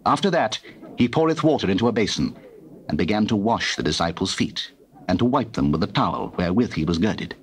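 Low, expressive male Bible narrator. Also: brisk. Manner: formal, theatrical storytelling. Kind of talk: scripted dramatic scripture narration. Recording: noisy old cassette recording.

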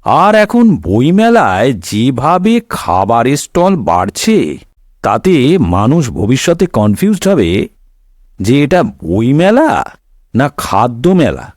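Slow, wry storyteller man. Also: medium pitch, wildly swooping pitch, darkish tone, dry recording, studio quality. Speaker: storyteller host. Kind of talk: scripted comic cartoon narration.

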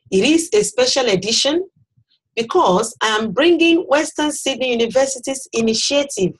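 A middle-aged Nigerian woman speaks to a desk webcam in a small office, her words slow and carefully spaced. Her pitch sits in an ordinary middle range, and her delivery is measured like a prepared script. Yoruba is her first language. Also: breathy edge, rough recording. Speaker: nursing academic presenting a health program. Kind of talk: scripted presentation to camera. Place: small office with bookshelves, indoors.

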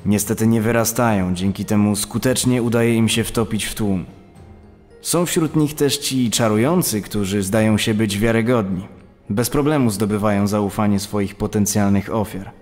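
A man voices a reflective criminology expert quoted in a true crime dramatization. The voice is fairly low and measured, carried on a long reverb with music behind it. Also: darkish tone, natural voice. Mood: sober, reflective. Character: male criminology professor reflecting soberly on killers.

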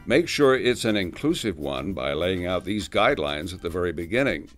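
A man's voice: low voice, big pitch swings, normal speed, soft background music. A man narrating a scripted awareness video in an upbeat, lightly joking voice-over.

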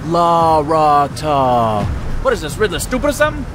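A man's voice: on the high side, very animated, normal speed, background noise. A man acting a brash, mocking gangster club boss in an audio drama, full of sarcastic bluster. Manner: sarcastic, blustering street-tough wisecracking.

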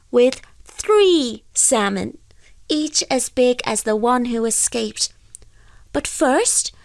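A proud, crafty little fairy man from a folk tale, performed by a woman. The small voice sits high and stretches words out slowly, with courteous, formal care. The sound is dry. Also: no room sound, full character voice. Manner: formal, rhyming, deliberate.

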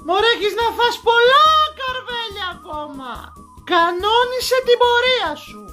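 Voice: very high-pitched, lively intonation, sharp tone, soft background music, full character voice. A performer voicing a nagging, melodramatic Greek mother in a comic recital, exasperated and full of theatrical guilt-tripping. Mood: nagging, threatening. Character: Voulitsa, shrill melodramatic scolding Greek mother character. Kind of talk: comic recital of stock parental catchphrases.

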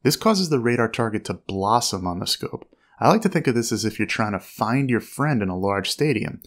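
Male explainer narrator, lower pitch, measured instructional read. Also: expressive, fairly bright tone.

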